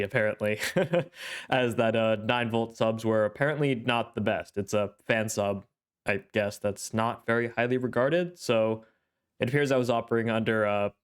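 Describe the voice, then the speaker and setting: Young male anime host, stuffy-nosed, smooth low-ish voice, rambling casual commentary. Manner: thoughtful, rambling, with frequent fillers. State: congested, clearing his throat. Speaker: anime reaction channel host.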